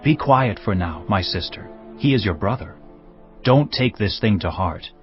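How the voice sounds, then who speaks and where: A man's voice: lowish voice, lively intonation, measured pace, highs cut off, faint music behind. An actor playing a protective brother consoling his sister in a dramatized audio Bible.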